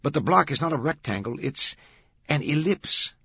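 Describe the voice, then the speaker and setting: A man's voice: on the high side, animated, moderate pace, vocal fry, sharp tone, husky, dry recording, lo-fi sound, pitched above the performer's own voice, heavily acted. A man voicing an earnest young male guard, eager and deferential as he explains in an audiobook thriller. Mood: earnest explaining.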